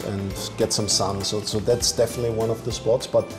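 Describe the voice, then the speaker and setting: A man's voice: fairly low pitch, a little fast. Joking Austrian-raised man, echoey room. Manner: relaxed, joking, with restarts. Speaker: basketball coach. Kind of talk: casual interview. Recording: echoey room with music behind.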